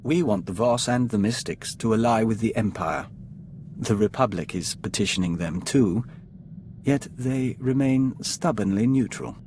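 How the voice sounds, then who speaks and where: A man's voice: lowish voice, unhurried, darkish tone, a little hiss or hum, lightly acted. A man playing a formal intelligence analyst in a video game briefing, precise, clipped and matter-of-fact. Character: crisp, formal male Imperial intelligence analyst.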